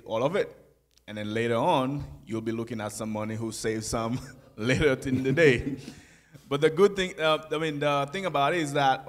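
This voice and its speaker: A young man telling his life story in a church sanctuary, speaking softly into a handheld mic with pauses and easy laughs. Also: medium pitch. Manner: soft-spoken, halting, laughs easily. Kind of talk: conversational testimony.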